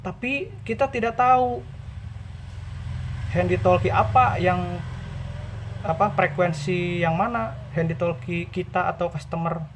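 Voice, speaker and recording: A man hosting an informal tutorial, explaining step by step with pauses and repetition. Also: high-pitched, slight background noise. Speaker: video host and radio seller. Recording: dull, muffled lo-fi audio.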